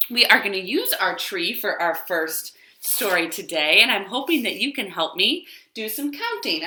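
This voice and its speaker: Bright, very animated woman leading children's storytime. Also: on the low side, slight background noise. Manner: simple encouraging instructions, drawn-out repeated words. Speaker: children's storytime host, librarian. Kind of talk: sing-song children's storytime with action rhymes. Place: living room at home. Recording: roomy, echoing home living room.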